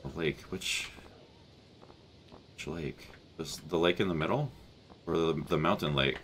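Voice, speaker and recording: A man's voice: very deep voice, unhurried, a little hiss or hum. A man in his thirties with a stuffy nose, chatting casually and rambling while he plays a farming game on a headset mic. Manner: relaxed, rambling, thinking aloud. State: congested from a cold. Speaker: gaming channel host. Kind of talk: casual let's play commentary. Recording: game music playing under voice.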